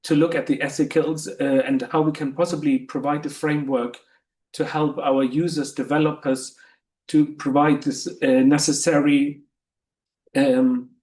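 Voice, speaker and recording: Halting man in an echoey room. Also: a little high, little pitch movement, a little mellow. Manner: halting, many fillers and restarts. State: losing his voice. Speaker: webinar host. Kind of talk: presenting in a webinar.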